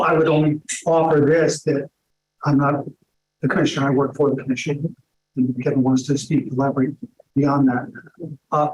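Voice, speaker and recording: A hoarse man, a parks director, carefully explaining a point at a town meeting. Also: mid-range voice, rough recording. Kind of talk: meeting discussion.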